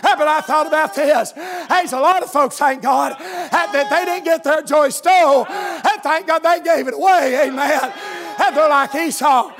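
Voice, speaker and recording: High-pitched Southern preacher man shouting his sermon. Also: moderate pace. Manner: exclamatory, punctuating phrases with amens and refrains; forceful, often shouting delivery. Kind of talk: fervent church sermon.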